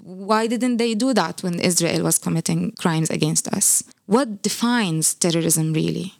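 Woman, forceful and low. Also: measured pace, gravelly, no echo, clean studio sound. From Palestine. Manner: forceful, rhetorical questions. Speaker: interviewee. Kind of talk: impassioned interview answer.

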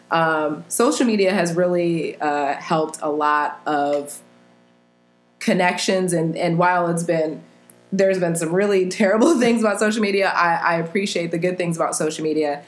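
A woman talking earnestly and thoughtfully as a guest in a casual conversation, laughing easily. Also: lowish voice. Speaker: podcast guest. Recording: roomy echo.

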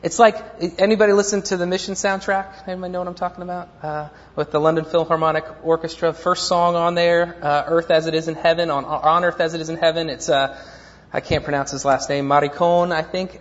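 A man's voice: a little high, steady tone, fairly quick. A man preaching a sermon as pastor, rambling and self-deprecating, with many fillers and restarts. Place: church. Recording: dull lo-fi church recording with long reverb.

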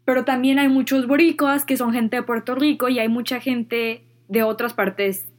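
A young adult woman with a Mexican accent, telling personal stories in a casual, slangy, expressive and giggly way, like a vlogger. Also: a little high, normal speed. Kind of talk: casual chatty storytelling, slangy.

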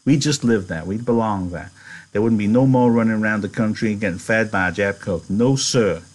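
A man's voice: on the low side, a little fast, close to an everyday voice. A man voicing a ranch hand who describes a dream farm in folksy rural speech. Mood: dreamy. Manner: folksy, rural turns of phrase. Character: wiry itinerant ranch hand, dreamy but guarded.

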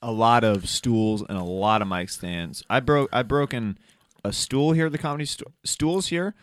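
A man's voice: medium pitch, moderate pace. A male comedian and host doing improvised banter in a theatrical, exaggerated manner.

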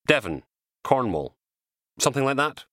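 A weary police detective in a crime audiobook , voiced by a man. He speaks bluntly and officially, with dry understatement and a lively pitch contour. The recording is close and free of room sound. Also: normal speed, no room sound. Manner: matter-of-fact, official, blunt.

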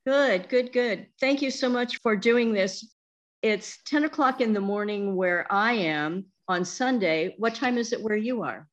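A woman's voice: mid-range voice, animated, a little slow. A woman hosting a conversational interview, asking questions and chatting with her guest.

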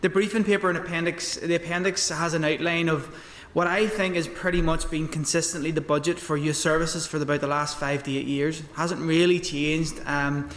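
A man's voice: on the high side, fairly even intonation, moderate pace, few pauses, fairly bright tone. A man, giving evidence at length to a committee. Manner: light voice, explains at length. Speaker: youth sector witness. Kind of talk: committee hearing testimony. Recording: echoey hall with faint noise.

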